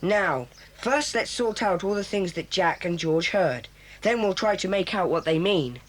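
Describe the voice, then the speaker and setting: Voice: medium pitch, lively intonation, unhurried. A performer voicing a solemn, bossy boy who leads a children's club, speaking in an earnest, formal, commanding way in a 1980s children's audio drama.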